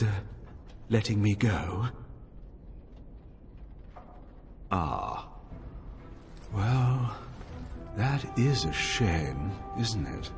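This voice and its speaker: An actor playing a suave, mock-polite slaver mage in a video game, with a theatrical delivery. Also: male voice, medium pitch, darkish tone. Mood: nervous pleading. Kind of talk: video game cutscene acting. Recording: long hall reverb, faint music.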